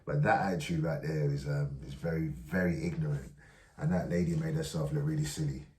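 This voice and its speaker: A man hosting a vlog, commenting casually with hesitant pauses and many fillers. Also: extremely low pitch, steady tone, dark tone. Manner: hesitant, many ums and restarts. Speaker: vlog host reacting to clips. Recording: echoey room, voice from far off.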